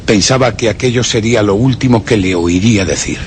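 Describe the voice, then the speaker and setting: An actor playing a cold, vengeful murderer who narrates a radio horror drama in deliberate, literary Castilian Spanish. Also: male voice, lowish voice, slight background noise, lightly acted. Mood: cold, sinister. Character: cold, vengeful male murderer recounting his crime.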